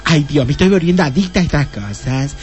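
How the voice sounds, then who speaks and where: Actor as lively, gossipy Argentine female assistant. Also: sits low, a little fast, muffled recording, slight background noise. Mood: gossipy, casual. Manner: casual, teasing, exclamatory. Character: chatty, gossipy female police assistant, cheeky and familiar. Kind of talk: Spanish-language radio play acting.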